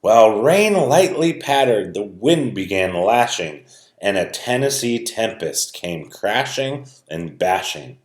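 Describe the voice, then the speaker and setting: A man reading a rhyming children's story aloud in a dramatic, expressive way. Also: fairly low pitch, takes it slowly. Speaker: storybook reader. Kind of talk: animated children's read-aloud, rhyming narration. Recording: roomy, echoing sound.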